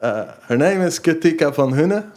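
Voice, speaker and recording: A man's voice: a little high, animated, moderate pace, a little mellow. A man hosting a podcast interview, hesitant and full of restarts. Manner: hesitant, many restarts and laughs. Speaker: podcast host.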